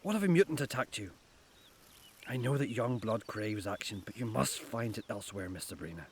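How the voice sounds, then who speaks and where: An actor playing a stern, protective middle-aged headmaster in an audio drama, scolding and commanding, with a Northern Irish accent. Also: male voice, fairly low pitch, animated, fairly quick, a little hiss or hum. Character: stern, protective headmaster guarding his school after apocalypse.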